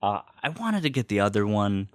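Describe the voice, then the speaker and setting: A man's voice: lowish voice, sing-song, a little fast, no echo, clean studio sound. A man chatting casually and very enthusiastically as a vlog host, gushing like a devoted fan, repeating himself and swearing freely. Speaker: host of a personal video series. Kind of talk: casual unboxing chat.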